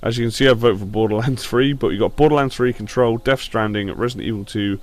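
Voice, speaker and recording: A man hosting a gaming show with casual, blunt opinion commentary, slightly croaky from a sore throat. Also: medium pitch, fast talker.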